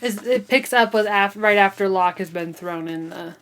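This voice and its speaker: A woman's voice: mid-range voice, moderate pace, fairly bright tone. A woman with a mid-range voice talks casually as a book podcast co-host. Her delivery is conversational and wry, leaning hard on emphasis as she reacts. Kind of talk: casual chatty book discussion.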